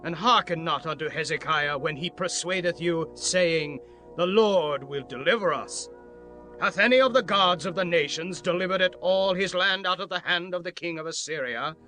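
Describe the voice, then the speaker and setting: An actor playing a boastful, threatening Assyrian royal envoy in a dramatized scripture audiobook, declaiming tauntingly over music. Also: male voice, a little high, moderate pace, pushed and bright. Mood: proud, menacing. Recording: music under the voice.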